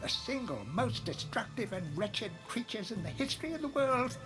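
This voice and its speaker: A woman's voice: a little high, very animated, moderate pace, rough recording. A woman playing a stern, scornful prophetess in a video game, scolding in grave, dramatic tones. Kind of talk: video game character acting. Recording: music behind the voice.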